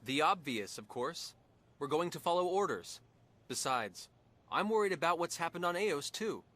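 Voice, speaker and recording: A man's voice: a little high, expressive, normal speed, a bit bright. A man with an American accent voicing an earnest, dutiful young starship captain in a video game, speaking straightforwardly. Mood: resolute. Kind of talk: video game cutscene voice acting. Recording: music behind the voice.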